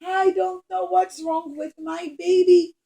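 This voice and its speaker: A high, exclamatory young mother from a children's puppet show, acted by a woman storyteller. She wears every feeling openly, sighing and pleading as she speaks. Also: little pitch movement, slow pace, mellow, full character voice. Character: anxious, overjoyed expectant mother, puppet.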